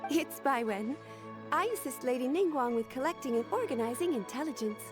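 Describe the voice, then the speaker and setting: A poised young secretary speaks in a high, clear-cut formal manner. She is courteous and measured, in video game cutscene style, with faint music underneath. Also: lively intonation. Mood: polite, composed.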